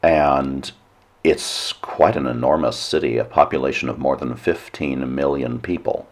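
A hoarse man hosting a weekly radio talk show, giving a measured lecture with dry asides. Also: extremely low pitch, steady tone. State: hoarse, nearly lost voice. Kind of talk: solo radio talk monologue.

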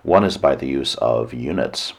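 A hoarse man hosting a weekly radio talk show, giving a measured lecture with dry asides. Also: a bass voice, expressive. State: hoarse, nearly lost voice. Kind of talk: solo radio talk monologue.

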